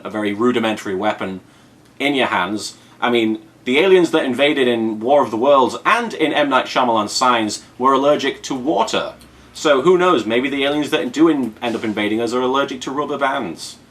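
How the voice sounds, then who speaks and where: A man's voice: mid-range voice, a bit bright. A British man in his thirties hosting a casual unboxing vlog, rambling in a playful, mock-serious way with emphatic repetition. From Britain. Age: 30s. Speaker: unboxing video host. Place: indoors at home in an apartment. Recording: clear background room noise.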